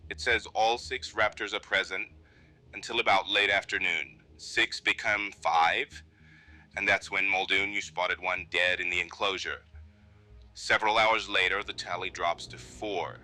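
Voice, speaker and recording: A man playing a pragmatic, harried computer technician in a horror film, explaining things in a measured, slightly defensive way. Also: low voice, steady tone, pushed and bright, heavily acted, poor audio. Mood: matter-of-fact. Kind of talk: found-footage horror film acting.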